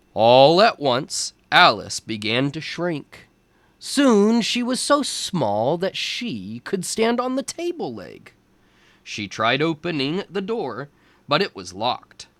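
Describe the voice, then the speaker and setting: Slow, expressive man reading a storybook. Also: on the high side, no room sound. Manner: slow, deliberate phrasing for young listeners. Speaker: teacher reading aloud to young children. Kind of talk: storybook read-aloud.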